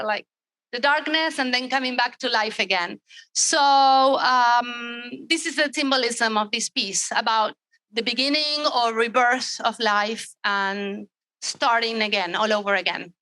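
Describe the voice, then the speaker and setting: Bright-voiced woman, dry sound. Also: on the high side, measured pace, no room sound, poor audio. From Argentina. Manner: reflective and explanatory, with fillers and restarts. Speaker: exhibiting artist. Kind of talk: explaining her artwork on a gallery walkthrough.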